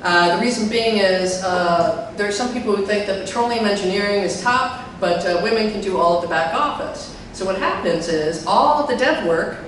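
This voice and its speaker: A middle-aged woman with a deep voice gives a chatty talk on a handheld mic, filling her speech with fillers and putting questions to the audience. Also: moderate pace, hardly any pauses, slight background noise. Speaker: conference speaker. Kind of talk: live tech talk to an audience. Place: large hall with a projector screen. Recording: echoey hall from far off.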